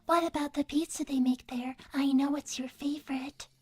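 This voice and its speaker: A woman playing an earnest, devoted young artificial-intelligence daughter, soft and breathy, speaking politely and precisely in livestream banter. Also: sits high, steady tone, moderate pace, close to an everyday voice. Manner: polite, precise, matter-of-fact. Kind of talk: in-character improvised livestream banter.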